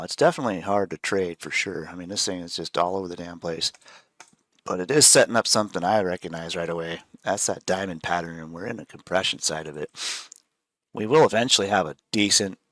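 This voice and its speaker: Low-voiced man with a head cold. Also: a little fast, no echo. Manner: relaxed, rambling, frequent fillers. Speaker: show host, trader. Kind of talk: casual chart analysis chat.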